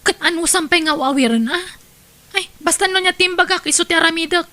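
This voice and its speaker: A woman's voice: very high-pitched, a little fast, bright, forceful tone, dry recording. A woman with an Ilocano Filipino accent playing a stubborn, ambitious young wife and mother in an audio drama. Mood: insistent, impatient.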